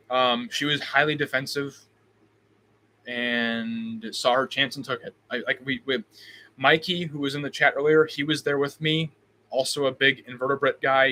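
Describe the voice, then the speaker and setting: Bright-voiced man with a slight Midwestern accent. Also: mid-range voice, a little hiss or hum. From Illinois, US. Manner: reads chat questions aloud, answers off the cuff with frequent ums and restarts. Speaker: host. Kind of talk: live stream Q&A.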